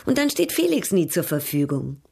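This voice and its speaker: An actress in a German radio drama playing a proud, worldly European baroness, poised, arch and coolly ironic. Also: female voice, on the low side, very animated, normal speed, vocal fry, a little mellow, husky, no room sound, studio quality, exaggerated voice. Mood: restless, candid.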